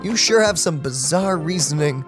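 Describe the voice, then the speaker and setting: Casting: animated male gamer host, high register, casual playful commentary, faint music behind. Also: normal speed. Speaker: let's play host. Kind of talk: casual gaming commentary, reading dialogue aloud.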